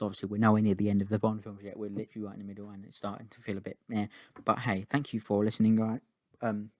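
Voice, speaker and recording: A man's voice: on the low side, no room sound, studio quality. A man giving a casual spoken film review, rambling and chatty, with many restarts and casual swearing.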